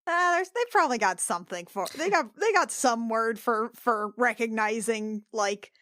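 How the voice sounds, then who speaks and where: A woman's voice: on the high side, lively intonation, moderate pace, pushed and bright, no echo, clean studio sound. A woman playing a tabletop roleplaying game, chatting casually about her character as a player.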